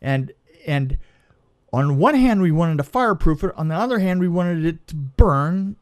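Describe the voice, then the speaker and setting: A man's voice: fairly high pitch, animated, moderate pace. A man telling a casual anecdote as a film crew guest, stammering and repeating words as he goes.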